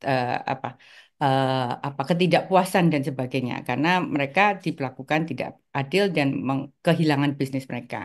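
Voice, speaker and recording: Low-voiced hesitant older woman. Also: a little mellow. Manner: hesitant, many filler pauses. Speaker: guest, article author. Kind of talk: interview, personal recollection.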